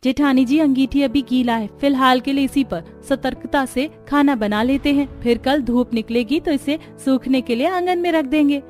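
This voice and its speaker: A woman's voice: sits high, moderate pace, few pauses, darkish tone, faint music behind, pitched above the performer's own voice, natural voice. A woman voicing a practical, earnest young daughter-in-law from a poor village family in a cartoon. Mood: eager, practical.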